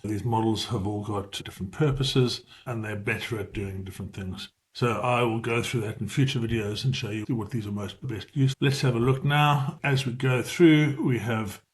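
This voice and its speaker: A man's voice: fairly low pitch, steady tone, normal speed, few pauses. A middle-aged man with a South African accent, casually walking viewers through a tutorial and correcting himself as he goes. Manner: informal, explanatory, self-correcting as he talks. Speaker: tutorial presenter. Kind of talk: casual screen-share tutorial.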